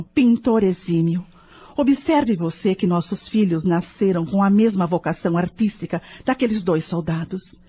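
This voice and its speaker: Lady acting intense brazilian mom in an audio drama, husky voice, troubled. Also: on the low side, mellow. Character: anguished, brooding mother of adult twin sons.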